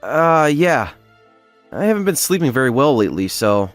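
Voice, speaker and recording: A man playing a quiet, earnest, lovesick schoolboy in a manga dub, reserved and halting when flustered. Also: mid-range voice, big pitch swings, dry recording, faint music behind, close to an everyday voice. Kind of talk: dramatic manga dub narration and dialogue.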